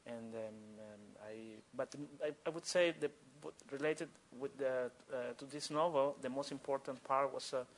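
A male author with a Cuban accent, a native Spanish speaker, talks off the cuff at a live event. He often repeats words and breaks off phrases, in a mid-pitched, creaky voice. Faint room noise sits behind him. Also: fairly even intonation, normal speed, few pauses. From Cuba. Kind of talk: spontaneous answers at a live literary talk.

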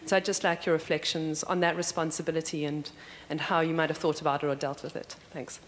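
A woman's voice: sits low, little pitch movement, fast. A woman in the audience politely asking the panel a question. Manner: polite, careful. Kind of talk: question from the floor. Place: lecture hall audience. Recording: reverberant hall with faint room noise.

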